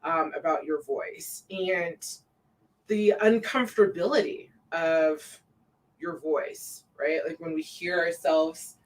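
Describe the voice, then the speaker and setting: A woman co-hosting a casual live stream, relaxed and loose with plenty of fillers, reading out viewer comments. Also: slow, far from the mic. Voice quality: says her own voice sounds deep to herself.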